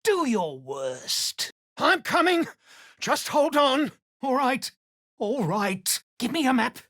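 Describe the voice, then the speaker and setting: A woman's voice: high-pitched, very animated, takes it slowly, a bit bright, no room sound, clean studio sound, exaggerated voice. A woman playing a blunt, desperate and defiant gnome slave in a video game, urgent and pleading. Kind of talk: video game character acting.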